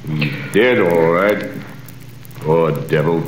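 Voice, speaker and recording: An actor playing a callous prison governor in a 1930s radio drama, giving curt orders. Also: male voice, low voice, slow pace, a little hiss or hum. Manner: curt, commanding. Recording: roomy old radio recording, off-mic.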